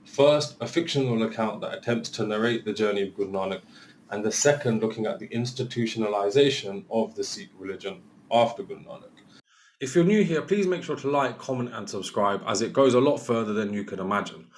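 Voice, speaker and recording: A man's voice: on the low side, animated, brisk, a little hiss or hum. A man hosting a podcast, reading an upbeat intro and then asking interview questions. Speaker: podcast host.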